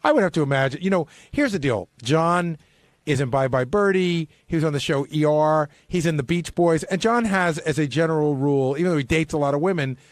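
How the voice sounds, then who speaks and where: A man's voice: a little high, a little fast, no room sound. A talkative man chatting casually on a radio show panel, reasoning things out aloud. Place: radio studio.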